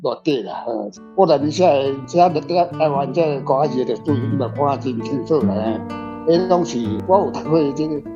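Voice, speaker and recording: An older man, an author, discussing his work informally in halting, repetitive phrases. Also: medium pitch. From Taiwan. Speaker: author guest. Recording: slightly muffled, faint guitar music behind. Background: faint guitar music.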